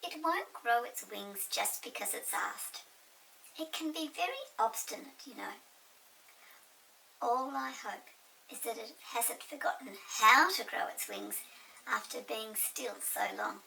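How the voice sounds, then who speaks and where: A woman voicing a small, lively, chirpy pixie in an excitable storybook reading. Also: on the high side, measured pace, gravelly, voice pushed up, heavily acted. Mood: resigned, fretting. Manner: emphatic, excitable. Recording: distant mic with hiss.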